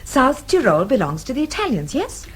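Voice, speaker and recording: Outspoken young british lady in a radio drama, high pitched and polite, faint noise behind. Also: sing-song, normal speed. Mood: frank, annoyed. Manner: earnest, well-spoken. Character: outspoken, earnest young Englishwoman, indignant and polite.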